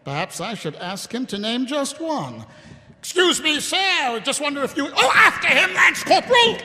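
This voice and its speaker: High-pitched, sardonic watch captain. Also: male voice, very animated, moderate pace, sharp tone, full character voice. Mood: exasperated, dry. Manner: hesitant, muttering, stammering, dryly ironic. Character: weary, sardonic, rambling male city watch captain. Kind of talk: live audio drama acting. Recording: echoey live hall.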